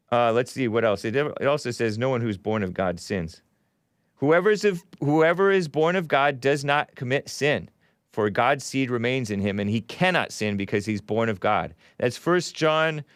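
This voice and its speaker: A man's voice: mid-range voice, clean tone, no echo, studio quality. A man hosting a call-in show, arguing scripture with callers and reading out viewer messages, with chuckles as he reads. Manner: argumentative, quotes scripture, chuckles while reading.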